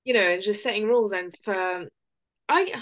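A woman's voice: medium pitch, normal speed, a bit bright. A woman co-hosting a podcast, speaking in casual, unscripted chat. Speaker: podcast co-host.

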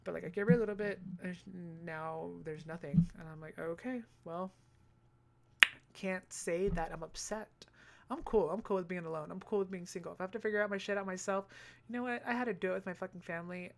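A young man with a high-pitched voice, telling stories in a casual monologue, animated, swearing freely and tossing in sassy asides. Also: very fast, vocal fry, a crowd in the background. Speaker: vlogger.

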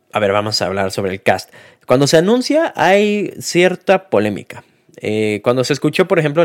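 Hombre, acento mexicano, reseña de cine casual, voz grave, muchas muletillas. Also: male voice, fairly low pitch. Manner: hesitant, many fillers and restarts. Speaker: film reviewer. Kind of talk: casual spoken movie review.

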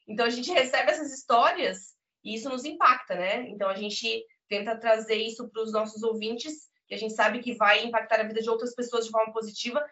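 A woman's voice: fairly high pitch, steady tone, a little fast, hardly any pauses, a bit bright. A woman from Brazil, a podcast guest, telling her story in an earnest, emphatic way, often checking in with "né?". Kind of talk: conversational interview. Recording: muffled lo-fi audio.

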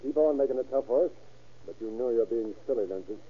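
An actor playing a hard-nosed, impatient sheriff questioning people in an old-time radio crime drama. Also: male voice, medium pitch, fast, breathy edge, close to an everyday voice. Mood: stern. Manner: blunt, pressing, folksy. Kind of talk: 1930s-1940s radio acting. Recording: scratchy, tinny old radio recording.

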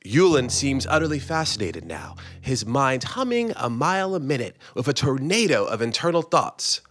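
A man reading a comic story in the first person, with dramatic, expressive narration that savors each phrase. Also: mid-range voice, normal speed, slight background noise. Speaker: guest story reader. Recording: music bed under the voice. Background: music.

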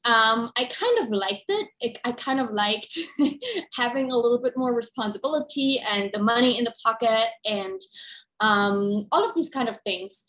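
A woman's voice: mid-range voice, rough recording. A woman from East Germany with a German accent, telling her life story as a podcast guest in a candid, rambling way with many ums. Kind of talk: casual interview chat.

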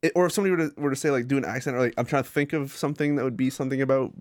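A man's voice: mid-range voice, steady tone, racing, no room sound. A man co-hosting a casual podcast chat, rambling, swearing freely and laughing often. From Canada. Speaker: podcast co-host.

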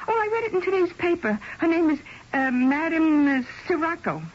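A woman's voice: extremely high pitch, lively intonation, fairly quick. An actress playing a guileless young woman who talks in a casual, hesitant, girlish way in an old-time radio drama. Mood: curious. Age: young adult. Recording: dull, tinny old radio recording.

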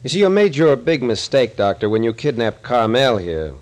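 An actor playing a tough, shrewd detective captain in a 1940s radio thriller, dry and commanding. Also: male voice, medium pitch, very animated, moderate pace, lightly acted. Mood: probing. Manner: matter-of-fact, dry, commanding.

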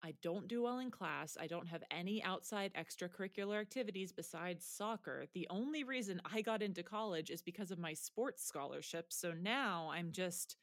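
An actress playing a stressed, deadpan high school girl with an exasperated manner in an audio drama. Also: normal speed, hardly any pauses, dry recording, studio quality, close to an everyday voice. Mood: dejected. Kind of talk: naturalistic audio drama dialogue.

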